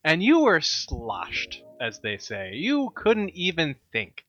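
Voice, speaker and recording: A man banters through an improvised comedy podcast in a bright, animated voice. He stays dry and mock-serious, with a slightly muffled sound and faint music underneath. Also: a little high, slow. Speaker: podcast co-host. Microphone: studio microphone.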